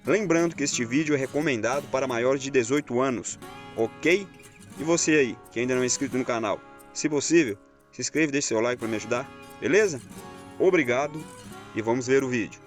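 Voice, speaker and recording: A man's voice: medium pitch, fast. A man with a Brazilian accent introducing videos as a gaming channel host. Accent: Brazilian Portuguese. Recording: guitar music behind voice. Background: guitar music.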